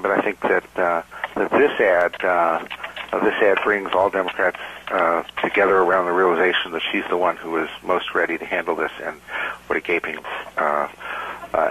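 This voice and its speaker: A man speaking as a campaign strategist, answering press questions on a conference call with deliberate, hesitant phrasing. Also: low voice, pushed and bright, low-quality recording. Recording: faint noise on conference call.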